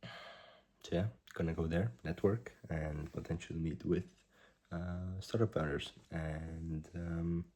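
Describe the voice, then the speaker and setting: A man in his twenties, a startup founder, recording a rambling video diary at a hushed volume while slightly sick with a cough. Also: low voice, fairly even intonation. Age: 20s. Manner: rambling, halting, self-correcting; keeps his voice down.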